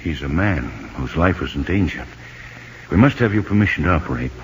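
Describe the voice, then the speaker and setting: An actor playing a grave, authoritative middle-aged doctor in a 1950s radio drama. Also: male voice, low-pitched, lively intonation, fairly quick, a little hiss or hum. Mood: grave, urgent. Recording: dull vintage radio recording with music.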